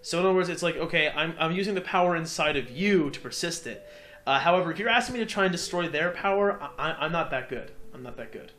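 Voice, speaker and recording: A man giving casual, excitable, jokey game commentary, sometimes slipping into mock voices. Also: on the high side, quick pace. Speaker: Let's Play commentator. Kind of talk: casual banter over game cutscenes.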